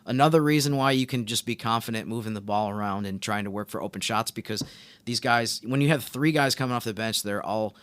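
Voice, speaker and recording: A man co-hosting a sports podcast, talking through basketball analysis in a casual, measured and explanatory way. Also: lowish voice, fairly bright tone, clear, resonant tone, no room sound.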